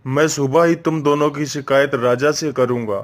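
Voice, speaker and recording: पुरुष कलाकार ऊँचे सुर वाला रौबदार अमीर आदमी. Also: male voice, a little high, steady tone, moderate pace, a little hiss or hum, close to an everyday voice. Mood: indignant. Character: stern, authoritative man of wealth and rank. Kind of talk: animated Hindi moral-story dialogue.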